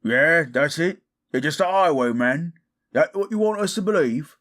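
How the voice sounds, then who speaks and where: A man playing a gruff orc fighter with rough, colloquial speech in a fantasy audio drama. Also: on the high side, lively intonation, moderate pace, a bit bright. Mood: brusque. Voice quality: gravelly, husky.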